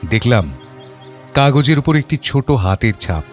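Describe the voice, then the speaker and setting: An actor playing a reflective father who narrates his memories in a measured, literary and expressive audio story style. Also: mid-range voice, natural voice. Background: background music.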